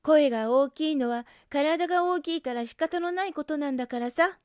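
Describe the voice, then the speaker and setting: A woman's voice: high-pitched, moderate pace, darkish tone, no room sound, studio quality, pitched above the performer's own voice, natural voice. A woman voicing a kind, earnest young male rabbit in a storybook, coaxing and emphatic. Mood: urgent, reassuring.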